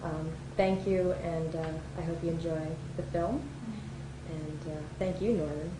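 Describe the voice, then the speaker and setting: A woman filmmaker giving informal thank-you remarks as a guest speaker, hesitant and pausing often. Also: sits low, steady tone. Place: event hall before an audience. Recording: echoey room with background noise.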